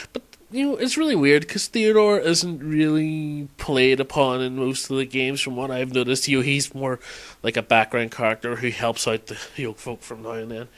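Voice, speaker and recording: A man's voice: mid-range voice, fairly bright tone. A man talking casually and unscripted as a game fan in an audio log, hesitating, rambling and correcting himself as he reminisces.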